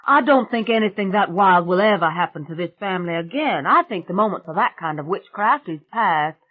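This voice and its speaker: A woman voicing a brash young country woman who chatters loudly and gushes. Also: fairly low pitch, lively intonation, voice pushed up. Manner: loud, gushing, rapid chatter. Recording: tinny phone-like sound.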